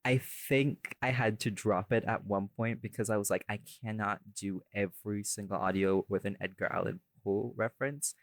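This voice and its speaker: A man's voice: on the low side, fairly even intonation, normal speed, hardly any pauses, no echo. A man hosting a casual behind-the-scenes chat about his audio work, self-deprecating and jokey, with some swearing. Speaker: podcast host, audio creator and voice actor.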